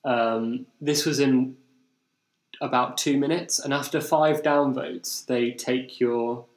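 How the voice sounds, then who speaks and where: A man's voice: mid-range voice, fairly even intonation, slow pace. A young man with an English accent, telling anecdotes in casual podcast chat. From England. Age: young adult. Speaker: podcast host. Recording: roomy, echoing indoor recording.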